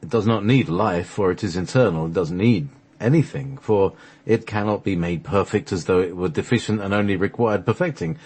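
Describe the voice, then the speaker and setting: A man reading a mystical scripted text aloud as a radio show host, in a solemn, measured recitation. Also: fairly low pitch, darkish tone, slightly rough.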